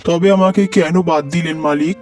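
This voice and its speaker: A man's voice: on the high side, steady tone, fairly quick, close to an everyday voice. A man playing an earnest, worried poor labourer and husband in a melodramatic cartoon, with a pleading manner. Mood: dismayed. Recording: music behind the voice.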